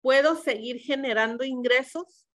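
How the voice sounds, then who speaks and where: A woman's voice: high-pitched, unhurried, a bit bright. A middle-aged woman from Mexico giving a webinar, methodically explaining pension rules, with frequent fillers and self-corrections.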